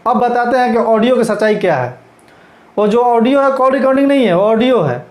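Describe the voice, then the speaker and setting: Really high voiced guy w bhojpuri hindi accent, youtube host explaining stuff, echoey room. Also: lively intonation, brisk. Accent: Bhojpuri-region Hindi. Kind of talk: informal news commentary to camera. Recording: echoey, roomy sound.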